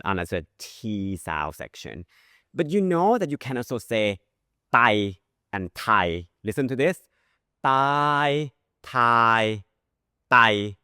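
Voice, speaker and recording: Guy teaching pronunciation, slow and bouncy, lots of pitch jumps, dry close sound. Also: sharp tone, clear, resonant tone, no room sound. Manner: modeling pronunciation with drawn-out sounds. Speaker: language teacher. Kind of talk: language lesson.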